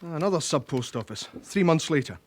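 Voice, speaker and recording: An actor playing a helpful, matter-of-fact police records officer in a radio drama. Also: male voice, on the high side, animated, fairly quick, slight background noise, lightly acted. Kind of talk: British radio drama acting.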